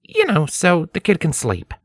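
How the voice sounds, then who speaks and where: A man's voice: a little high, wildly swooping pitch, measured pace, no room sound, clean studio sound, pitched above the performer's own voice, heavily acted. A man voicing an optimistic, caring male pony scholar with a casual, reassuring and slightly hesitant manner for a storybook reading. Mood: sheepish.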